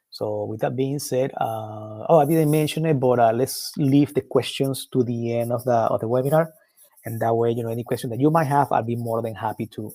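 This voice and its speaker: A man giving an informal technical product presentation as a webinar presenter, haltingly, often repeating and rephrasing his words. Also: mid-range voice, dark tone, dry recording.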